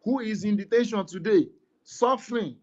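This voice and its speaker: A man's voice: sits high, normal speed, muffled recording. A Nigerian man giving an impassioned speech in an online audio room, emphatic and repetitive, firing rhetorical questions.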